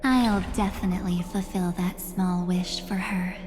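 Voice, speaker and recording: A woman playing a mysterious, possessive memory spirit in a video game, deliberate and softly menacing, with hushed phrase endings. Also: fairly low pitch, fairly even intonation, big-room sound, over music, natural voice. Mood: solemn, resolute. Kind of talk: dramatic video game voice acting.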